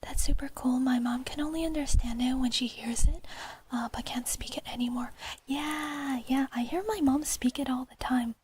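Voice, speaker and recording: A young woman whispering softly as an ASMR streamer, chatting with her viewers and giggling now and then. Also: sits high, steady tone, moderate pace. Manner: soft whisper, reads and answers chat, giggly. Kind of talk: whispered livestream chat with viewers.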